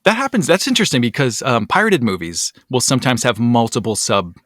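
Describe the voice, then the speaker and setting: A man hosting a podcast, talking casually with lots of ums and quick asides. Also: mid-range voice, huge pitch swings, a bit bright, no echo, studio quality. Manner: chatty, many ums, rising asides. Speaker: podcast host. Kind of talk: casual chat.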